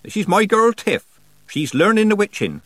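A man voicing a brisk, bossy old village witch woman in an audiobook, folksy, teasing and scolding. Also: on the high side, big pitch swings, fairly bright tone, husky, no room sound, voice pushed up, full character voice. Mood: loud, cheeky. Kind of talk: audiobook character dialogue.

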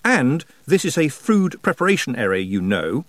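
Man as an indignant farm girl, pitched high. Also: very animated, moderate pace, dry recording, lightly acted. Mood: sharp. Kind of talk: audiobook character voice.

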